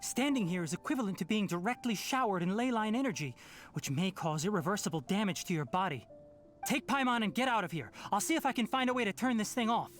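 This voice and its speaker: A woman voicing a calm, analytical young male scholar in a video game, measured and precise as she explains things. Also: mid-range voice, faint music behind, lightly acted. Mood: serious, urgent.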